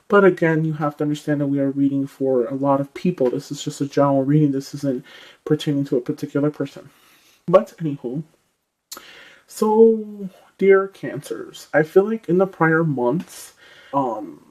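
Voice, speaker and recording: A man with a scratchy throat giving a casual tarot reading, rambling with many fillers and clearing his throat often. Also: a little high, darkish tone. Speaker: tarot reader.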